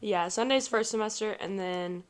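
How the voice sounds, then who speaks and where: Young woman host, 20s, chatty and upbeat, medium pitch, casual podcast chat. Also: normal speed. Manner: chatty, upbeat, leads the conversation.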